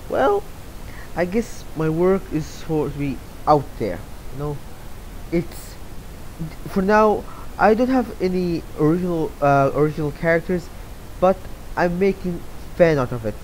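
A man's voice: fairly high pitch, lively intonation, measured pace, a little mellow, slight background noise, poor audio. A young man from Saudi Arabia answering interview questions about his art in a loose, rambling way. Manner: rambling, many fillers and restarts. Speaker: interview guest, artist. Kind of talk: casual answers to read-out questions.

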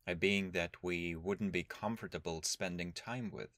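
A man leading a guided meditation as a teacher, speaking in a calm, measured, instructional way with long pauses. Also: deep voice, no room sound, studio quality.

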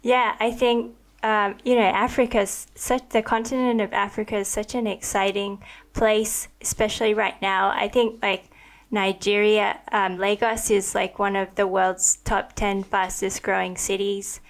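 Podcast host, young woman, ordinary pitch, eager and reflective, close studio mic at home. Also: flat delivery, a little slow. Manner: thoughtful, enthusiastic, many likes. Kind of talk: conversational interview. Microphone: studio mic on a boom arm with headphones.

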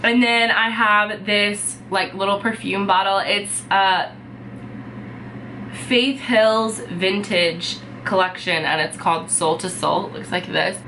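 A woman's voice: mid-range voice, steady tone, slow, pushed and bright, slight background noise, rough recording. Congested young woman, chatty vlogger. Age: young adult. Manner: chatty, many fillers like "um" and "like". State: sick and congested. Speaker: beauty vlogger. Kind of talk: casual chat to camera. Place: bedroom, indoors.

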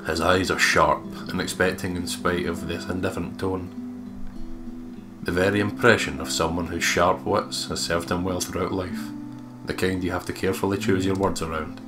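Very deep-voiced man over music. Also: level tone, normal speed. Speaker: let's play host reading aloud. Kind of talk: narration and casual game commentary. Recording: music behind the voice.

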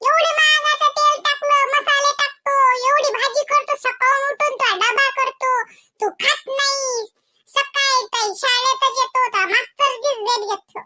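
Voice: very high voice, fairly even intonation, background noise. A performer voicing a cheeky scheming schoolboy in a comedy cartoon, with a Varhadi Marathi accent and a theatrical, exaggerated delivery. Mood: smug, mock-preachy. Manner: theatrical, exaggerated, drawn-out words. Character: cheeky scheming schoolboy son, mock-earnest flatterer. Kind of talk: comedy cartoon monologue and dialogue.

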